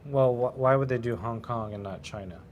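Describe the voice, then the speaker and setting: A man hosts a talk show from a TV studio, guiding his guest with friendly questions. His voice is of middle pitch, and a faint noise lies under it. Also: brisk. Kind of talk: studio interview.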